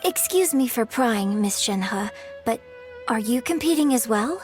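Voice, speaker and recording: An actress voicing a polite, composed young opera singer in a video game, speaking formally. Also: female voice, fairly high pitch, lively intonation, measured pace, soft background music. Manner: formal, courteous.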